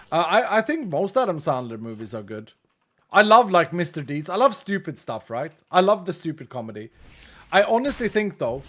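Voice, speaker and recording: A man's voice: fairly high pitch, a bit bright. Chatty Swedish-accented man streaming. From Sweden. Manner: chatty, self-deprecating, laughs often, trails off mid-sentence. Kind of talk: casual chat while gaming, reading chat.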